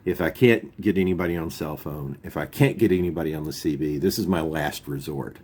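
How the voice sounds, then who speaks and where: A man's voice: extremely low pitch, a little fast, a little mellow. A man hosting a video, explaining gear in an informal, folksy, plain-spoken way. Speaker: YouTube channel host. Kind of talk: casual product overview, explaining radio gear.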